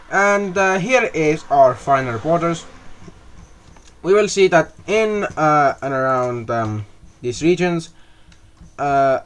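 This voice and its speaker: Young man, mapping video narrator, casual, slow, halting, lots of fillers, mid pitch. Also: clean tone. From half Russian. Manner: halting, many fillers and restarts.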